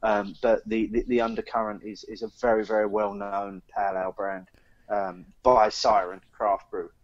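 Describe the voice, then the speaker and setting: A man's voice: lowish voice, little pitch movement, moderate pace. Opinionated British man, slightly muffled recording. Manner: opinionated, emphatic. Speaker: podcast co-host. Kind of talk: casual podcast chat about beer.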